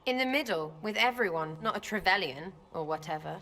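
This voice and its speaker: A woman's voice: lowish voice, expressive, fairly quick, sharp tone. An actress playing a cheeky, blunt young female rogue archer in a video game, talking in choppy, slangy, irreverent bursts. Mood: flippant, blunt. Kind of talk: video game dialogue acting.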